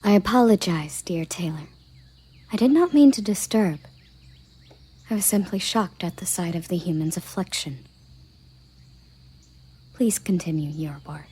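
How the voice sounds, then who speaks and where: A proud elven captain of the guard, acted by a woman in a roleplay audio drama. Her voice is mellow and breathy, often sinking to a half-whisper. She speaks slowly and formally, giving orders and questions with imperious, curious care. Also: fairly low pitch, expressive. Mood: apologetic, formal. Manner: formal, deliberate, imperious phrasing.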